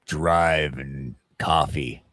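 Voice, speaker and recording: A man with a very low, deep voice hosts a laid-back morning chat. He jokes at his own expense in an informal, conversational way. The sound is dry and close, free of echo. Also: steady tone, very slow, fairly bright tone. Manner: joking, self-deprecating. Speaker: talk show host. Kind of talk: casual morning chat show.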